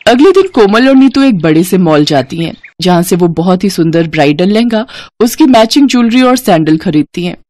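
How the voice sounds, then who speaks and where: A woman narrating animated moral stories from a script, as a storyteller. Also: on the low side, moderate pace, dry recording.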